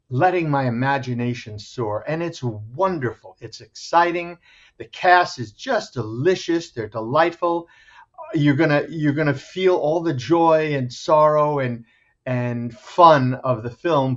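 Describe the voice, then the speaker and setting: A man's voice: mid-range voice, fairly bright tone. A man giving an enthusiastic, descriptive interview as a theater director promoting a show. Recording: dull, muffled lo-fi sound.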